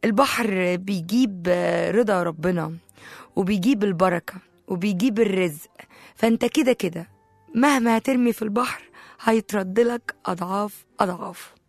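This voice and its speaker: Woman, Egyptian accent, slightly hoarse, playful live radio host, medium pitch, close dry sound, faint music behind. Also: normal speed, dry recording. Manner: chatty, playful, addresses listeners directly. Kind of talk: casual live radio talk. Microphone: close studio microphone.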